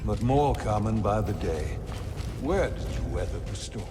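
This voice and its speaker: An older man playing a gracious, thoughtful elderly Jedi master in video game dialogue, with courtly, measured phrasing. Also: lowish voice, lively intonation, very noisy. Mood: reflective. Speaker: mentor character.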